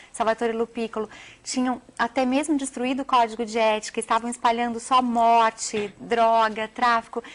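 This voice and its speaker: A woman's voice: a little high, a bit bright. A Brazilian woman, a journalist and author, speaking as an interview guest in an earnest, emphatic, wordy way. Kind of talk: television interview, animated storytelling.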